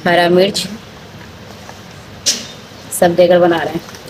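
A middle-aged woman cooking at home and calmly explaining each step to the camera. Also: fairly low pitch, takes it slowly. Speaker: home cook. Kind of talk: casual explaining while cooking. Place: small home kitchen indoors. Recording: noisy home phone recording.

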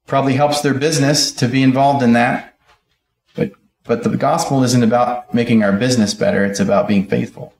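A man's voice: mid-range voice, fairly even intonation, a little fast, darkish tone, reverberant. A middle-aged man preaching a sermon at a pulpit, reading scripture aloud and explaining it verse by verse. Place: church auditorium, speaking at a pulpit.

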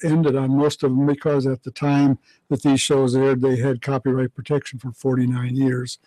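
A man's voice: medium pitch, monotone, normal speed, warm tone, no room sound, low-quality recording. An older American man talking conversationally as an expert guest in a radio studio. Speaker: radio historian, interview guest. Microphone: broadcast boom mic.

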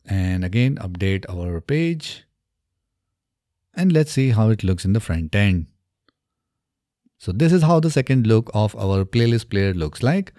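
A man in his thirties narrating a step-by-step software tutorial in a methodical, instructional way, close on a studio microphone. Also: on the low side, very animated, a little slow, long pauses, no room sound. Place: small indoor home studio. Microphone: close studio microphone on boom arm.

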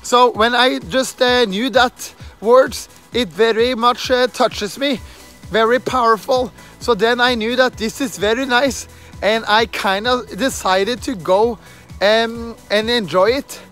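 A man in his thirties from Norway, speaking English with a Norwegian accent, talks haltingly to a phone held at arm's length as he walks outdoors at night. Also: extremely high pitch, a bit bright, soft background music. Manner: halting, many fillers and restarts, earnest. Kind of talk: casual walking vlog. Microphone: phone camera held at arm's length.

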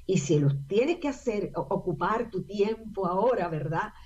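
A woman's voice: medium pitch, expressive, moderate pace, a little mellow, slightly rough. An older woman from Puerto Rico with a Puerto Rican Spanish accent, an actress and singer chatting as a guest, telling her story with lots of fillers. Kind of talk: casual interview talk. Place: home room via video call. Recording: dull lo-fi video call audio.

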